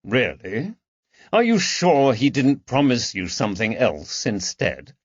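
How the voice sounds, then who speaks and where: A man's voice: medium pitch, very animated, slow, no room sound, highs cut off, voice pushed up. A man voicing a shrewd, coldly mocking detective in an audiobook, with a dry, taunting manner. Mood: probing, sarcastic. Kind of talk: audiobook character dialogue.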